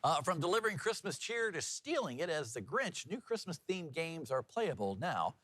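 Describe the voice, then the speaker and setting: A man's voice: a little high, very animated, normal speed, a bit bright, dry recording. A man working as a TV news anchor, reading headlines and bantering in a chatty, folksy way. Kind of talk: newscast reading mixed with casual co-anchor banter.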